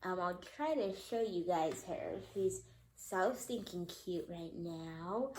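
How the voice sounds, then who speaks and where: A woman's voice: lowish voice, animated, slow, a little mellow, a little hiss or hum. Young woman, mom vlogger, congested, slightly nasal, chatty with fillers, phone mic, echoey room. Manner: chatty, lots of ums and likes. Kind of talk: casual mom vlog update.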